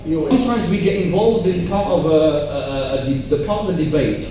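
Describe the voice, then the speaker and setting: High-pitched man in a very echoey room. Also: a little fast. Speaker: panel speaker. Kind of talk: measured closing remarks at a public talk. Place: large echoey indoor event room. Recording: very echoey room, distant mic, faint noise.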